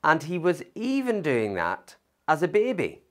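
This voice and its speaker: A man's voice: a little high, wildly swooping pitch. Guy preaching a christmas message, chatty, lots of ums, voice goes up and down a lot. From not from Liverpool. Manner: conversational, thoughtful, with pauses and fillers. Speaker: pastor. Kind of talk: Christmas sermon.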